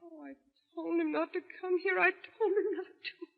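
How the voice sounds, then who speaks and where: A woman's voice: very high voice, fairly even intonation, moderate pace, vocal fry. A woman playing a devoted, defiant wife in a vintage radio drama, with earnest, insistent, theatrical delivery. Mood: anxious. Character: devoted, defiant young wife of an unstable husband. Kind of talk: mid-century American radio drama acting. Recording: vintage radio, muffled, long reverb.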